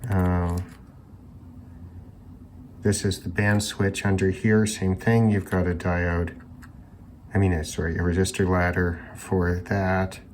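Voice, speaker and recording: A man hosting an electronics teardown video, talking casually and unscripted, haltingly thinking aloud as he examines parts. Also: low-pitched, little pitch movement, darkish tone, a little hiss or hum. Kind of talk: casual unscripted hands-on demonstration talk.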